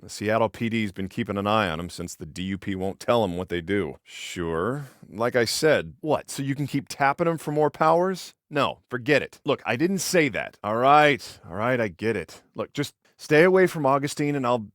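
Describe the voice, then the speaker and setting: Actor as sardonic American big-brother cop, animated voice. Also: male voice, mid-range voice, moderate pace, hardly any pauses, dry recording, clean studio sound, close to an everyday voice. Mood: dry, serious. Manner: sarcastic, bantering, exasperated. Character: exasperated, protective big-brother cop, sardonic young man. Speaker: video game character, police officer. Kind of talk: naturalistic video game voice acting.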